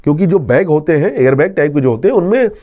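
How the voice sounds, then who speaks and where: Male police inspector, soft dark timbre, earnest and instructive interview delivery. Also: fairly high pitch, very animated, fast. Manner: earnest, instructive, repeats key points. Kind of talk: explanatory interview answers with safety advice.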